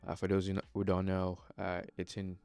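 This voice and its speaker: Earnest deep-voiced young man, halting. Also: monotone, creaky, a little mellow, clean tone, dry recording, faint music behind. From Democratic Republic of the Congo. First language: Congolese language. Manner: hesitant, many fillers and restarts, earnest. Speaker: vlogger. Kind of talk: motivational personal vlog.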